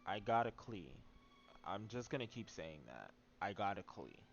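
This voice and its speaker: A man with a slightly low voice chats through a game stream. He rambles playfully and casually. The sound is a bit dull, with faint music behind. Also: big pitch swings, moderate pace.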